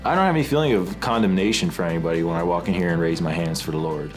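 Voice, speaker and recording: A man's voice: low voice, rapid-fire. A twenty-six-year-old man giving an enthusiastic, emphatic testimonial about his church group. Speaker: church young adults group member. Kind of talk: testimonial interview. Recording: soft music underneath.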